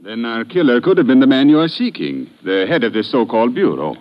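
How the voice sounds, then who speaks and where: A dull old radio recording carries an actor as a male police lieutenant. His medium-pitched voice rises and falls widely. He is formal, courteous and deliberate in his questioning. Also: big pitch swings, lightly acted. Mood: thoughtful, speculative. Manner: formal, deliberate, smooth-mannered. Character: courteous, methodical middle-aged European police lieutenant. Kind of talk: old-time radio drama acting.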